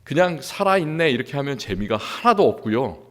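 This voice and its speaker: A male pastor from Seoul delivers a sermon in a church sanctuary. His pitch moves in wide, lively swings, climbing into emphatic stretches before falling back to quiet, earnest lines. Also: fairly quick. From Seoul, South Korea.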